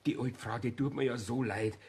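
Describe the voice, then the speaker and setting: An actor playing an earnest, insistent master plumber with a Bavarian accent and a husky voice, coaxing in a radio play. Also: male voice, medium pitch, fairly even intonation, a little fast, darkish tone. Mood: pleading, earnest. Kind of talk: German radio play dialogue.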